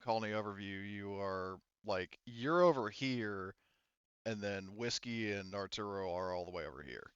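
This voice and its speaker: A man narrating a tabletop roleplaying game as game master, in a halting, conversational and casual way. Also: on the low side, a bit bright, smooth, dry recording, dull audio.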